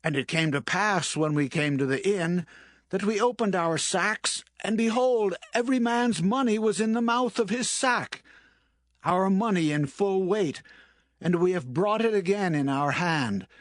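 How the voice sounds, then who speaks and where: A man's voice: high-pitched, animated, measured pace, no room sound. An actor playing an anxious brother, pleading his honesty in a dramatised scripture reading. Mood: anxious explaining.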